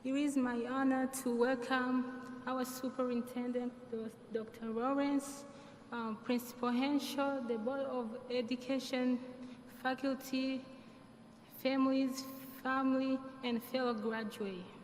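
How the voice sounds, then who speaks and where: A woman's voice: sits high, steady tone. A young woman with a non-native English accent giving an earnest graduation speech as a student speaker. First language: not English. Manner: earnest, measured delivery. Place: large hall. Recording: hall reverb, faint noise.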